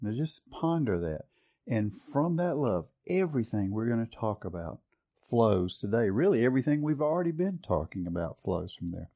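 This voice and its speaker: A man leads a Bible study in a warm, mellow voice at an ordinary pitch. He is earnest and reflective, weighing one scripture translation against another. He often restarts a phrase, repeating a word or two as he reaches for it. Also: expressive, normal speed. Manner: repeats words while finding the phrase. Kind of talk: teaching a Bible study session.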